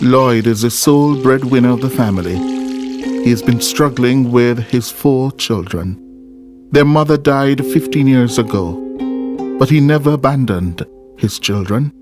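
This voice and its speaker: Male documentary narrator, mid-pitched, strong plucked-guitar music bed. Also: unhurried. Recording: prominent music bed behind voice.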